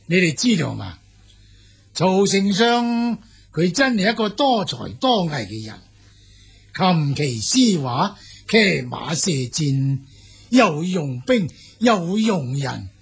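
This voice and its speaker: A man with a Cantonese accent playing a smooth-talking, boastful military envoy in an audio drama, speaking formally and emphatically with a husky, gravelly voice. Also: high-pitched, big pitch swings, exaggerated voice. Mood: admiring, grand. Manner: formal, emphatic, deliberate praise and veiled warnings.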